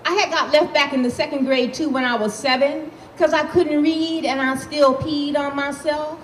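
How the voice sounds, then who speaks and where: A woman's voice: high-pitched, steady tone, moderate pace, voice pushed up, natural voice. A woman reading aloud in character as a blunt, defiant sixteen-year-old girl from Harlem, with a streetwise, profane way of talking. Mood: matter-of-fact. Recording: echoey room with faint noise.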